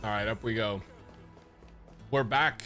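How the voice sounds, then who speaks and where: A man's voice: lowish voice, fairly even intonation, a little slow. A man streaming live reactions to a game, casual, slangy and jokey. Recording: game music behind the voice.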